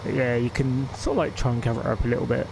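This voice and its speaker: A man's voice: mid-range voice, level tone, rapid-fire, gravelly, noisy background. A man with a West Country English accent chatting casually while streaming a game, rambling and laughing often. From England. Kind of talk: casual live-stream chat.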